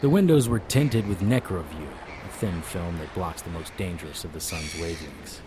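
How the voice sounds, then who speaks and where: A man's voice: low-pitched, normal speed. A man narrating an audio drama in the first person as a sardonic, world-weary vampire hunter, in a hard-boiled style. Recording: audible background noise.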